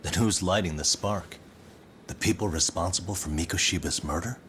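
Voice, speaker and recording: An actor playing a casual, sardonic, unafraid detective in a video game cutscene. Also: male voice, low-pitched, moderate pace, a little hiss or hum. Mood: casual curiosity. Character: wry, streetwise male detective, casual and unafraid. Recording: loud music behind the voice.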